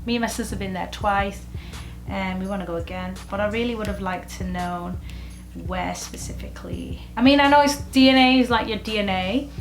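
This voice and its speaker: A young woman, talking through a casual vlog in a chatty, expressive way and dropping in lots of "like". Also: mid-range voice, fairly quick, soft background music. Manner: chatty and expressive, with filler likes. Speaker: vlogger.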